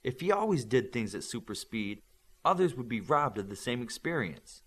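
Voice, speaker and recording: A man's voice: medium pitch, sing-song, moderate pace, a bit bright, slightly rough. A man reading a novel aloud as scripted narration, acting out its dialogue. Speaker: audiobook narrator.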